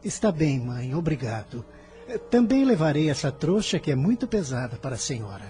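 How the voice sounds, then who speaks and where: Man as a gentle youth, mellow and expressive. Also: on the high side, normal speed. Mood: gentle, earnest. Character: compassionate, dutiful young son, gentle and sorrowful. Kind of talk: radio drama acting.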